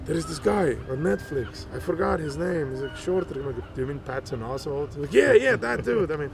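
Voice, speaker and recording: A man's voice: on the high side, fairly quick, crowd noise. Man in his early forties. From Estonia. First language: Estonian. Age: early 40s. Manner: animated storyteller, acts out quoted dialogue. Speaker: stand-up comedian guest. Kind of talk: casual interview. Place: park outdoors. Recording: clear background music.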